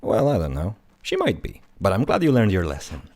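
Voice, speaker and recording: A man's voice: lowish voice, wildly swooping pitch, a little fast, darkish tone, exaggerated voice. A man playing a dry, sarcastic spirit guide to the dead in an audio drama. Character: wry male spirit guide to the afterlife.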